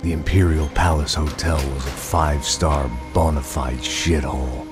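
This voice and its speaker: A man playing a cynical middle-aged American ex-cop in a noir video game, gravelly, deadpan and sardonic. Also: rumbling low, normal speed. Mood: grim, sardonic. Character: world-weary, cynical middle-aged male ex-cop antihero. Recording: loud music behind the voice.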